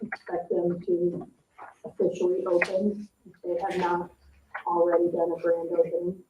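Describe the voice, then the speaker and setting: A woman giving a halting status report as a staff member at a public meeting. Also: lowish voice, monotone. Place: indoor public meeting room. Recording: echoey room, distant mic, muffled, noisy.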